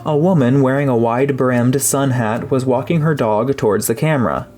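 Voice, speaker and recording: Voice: mid-range voice, normal speed, darkish tone. Casting: narrator for a scripted audiobook, mid-range voice, music underneath. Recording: music behind the voice.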